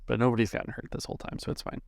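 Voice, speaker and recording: A man's voice: rapid-fire, dry recording, studio quality. A man narrating and refereeing a tabletop roleplaying game as its game master.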